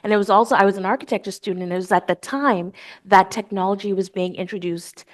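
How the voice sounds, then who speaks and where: A woman professor from the Bahamas with a mid-range voice answers a host's questions on stage. She speaks in an articulate, reflective way and tells her story clearly. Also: medium pitch, steady tone, fast. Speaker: guest lecturer, professor.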